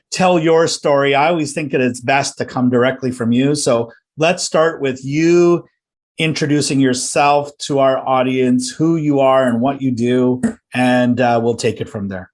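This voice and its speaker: A man's voice: mid-range voice, measured pace. Enthusiastic male podcast host. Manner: friendly, enthusiastic, rambling with restarts. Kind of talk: podcast interview.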